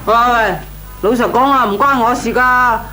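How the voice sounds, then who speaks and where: A woman's voice: fairly high pitch, fairly even intonation, normal speed, bright, forceful tone, soft background music, low-quality recording. A woman playing a frank, self-excusing housewife in an old Hong Kong Cantonese radio comedy, blunt and protesting. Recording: old radio recording, hiss and hum.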